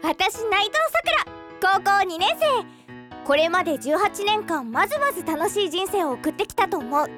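Female actor as lovelorn teenage schoolgirl, earnest and flustered; very high, swooping voice over music. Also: big pitch swings, moderate pace. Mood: wistful. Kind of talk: radio drama acting with inner monologue.

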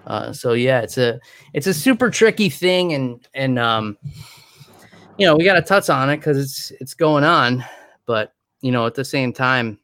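A man's voice: medium pitch, expressive, measured pace, a bit bright, dry recording, clean studio sound. A man co-hosting a podcast in easygoing, rambling chat, laughing often. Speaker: podcast co-host.

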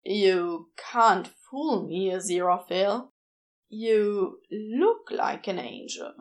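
Audiobook role: earnest, smitten man acted by a woman, hesitant yet insistent, very slow, halting delivery. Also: medium pitch, expressive, pitched below the performer's own voice. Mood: adoring, insistent. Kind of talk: audiobook character dialogue.

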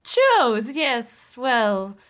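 A woman's voice: on the high side, sing-song, drawn-out words, exaggerated voice. A woman voicing a beaming, over-welcoming woman in her forties with a gushing, effusive manner in a comedy script read. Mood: faltering.

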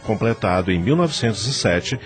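A man's voice: lowish voice, lively intonation, fairly quick, faint music behind. A man narrating a radio drama.

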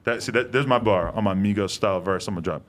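A man's voice: fairly low pitch, fast, a crowd in the background. A young man hosting a casual podcast, telling loose, rambling stories full of fillers. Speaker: podcast host. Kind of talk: casual chat.

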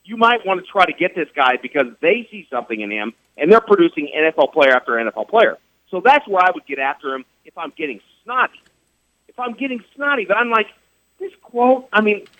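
A man with a high, animated voice argues his point on sports radio, repeating himself and hammering rhetorical questions. His voice comes through dry and slightly dull, like a studio feed. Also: dry recording. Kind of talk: heated sports radio talk.

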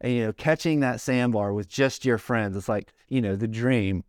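Middle-aged dude chatting loose, lots of likes and you knows, smooth clear voice, dead dry mic. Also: male voice, mid-range voice, moderate pace, a little mellow, no room sound. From South Carolina, born in Hawaii. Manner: rambling, lots of "like" and "you know". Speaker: podcast guest, surfboard shaper. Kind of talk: casual conversation.

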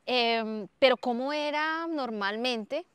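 A woman's voice: high-pitched, slow, a bit bright, clear, resonant tone, no echo. A woman interviewer who reflects aloud in a thoughtful way before asking her questions.

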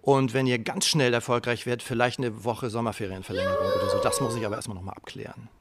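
A man's voice: mid-range voice, huge pitch swings, quick pace, heavy background noise. A man playing a friendly, cautious school headmaster, speaking colloquially and hesitantly in a radio play. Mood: encouraging, pressing.

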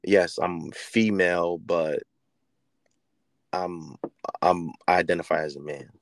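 A man co-hosting a podcast who argues his points earnestly, often pausing and restarting his sentences. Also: low voice, fairly even intonation, no room sound. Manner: earnest, argumentative, hesitant restarts. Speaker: podcast co-host. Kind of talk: casual podcast chat.

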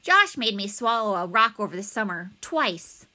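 A woman's voice: mid-range voice, big pitch swings, moderate pace, sharp tone. A woman voicing an eager, helpful, slightly nerdy schoolboy in a children's storybook, earnest and explanatory.

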